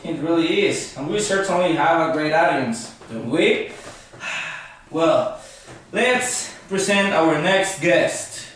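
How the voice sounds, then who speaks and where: Guy acting as showy late night host in a skit, high voice, far from mic in echoey room. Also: lively intonation, slow, a bit bright. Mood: welcoming. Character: philosopher as excitable late-night talk show host. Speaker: talk show host. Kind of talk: staged amateur talk-show skit. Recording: echoey room, distant mic, faint noise.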